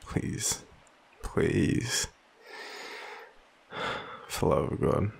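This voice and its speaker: An Australian man playing an overwhelmed, devoted, self-deprecating partner in an intimate audio roleplay, speaking haltingly with sighs. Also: slight background noise. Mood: pleading, loving. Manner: halting, hesitant, sighing.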